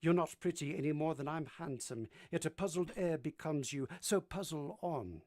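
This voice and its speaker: A woman playing a brusque, moody gentleman master in a vintage-style radio drama, commanding and teasing as they question. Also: deep voice, expressive, normal speed. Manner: imperious, teasing, theatrical questioning.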